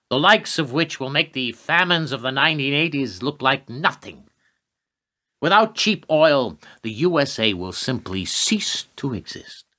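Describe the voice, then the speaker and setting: Actor as forceful, animated presidential adviser. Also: male voice, medium pitch. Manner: urgent, lecturing, rhetorical. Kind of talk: radio play acting.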